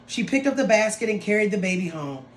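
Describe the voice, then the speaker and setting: A woman teacher reading a story aloud to students in an expressive, dramatic way, with drawn-out phrases and playful asides. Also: mid-range voice. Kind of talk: expressive read-aloud of a picture book for students. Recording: roomy echo with some background noise.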